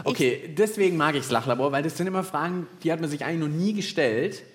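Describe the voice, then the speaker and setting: A man's voice: fairly high pitch, animated, fast. A man co-hosting a children's science podcast live on stage, bantering casually as the playfully clueless guesser.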